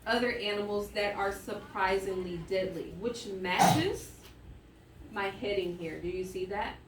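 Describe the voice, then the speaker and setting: Casting: female elementary teacher, mid-pitched, instructive read-aloud with musing asides, recorded roomy and distant. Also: measured pace. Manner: thinking aloud with musing hums and exclamations; instructive, checking in with listeners. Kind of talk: interactive read-aloud lesson to children. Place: classroom with echo. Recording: roomy, distant mic, faint noise.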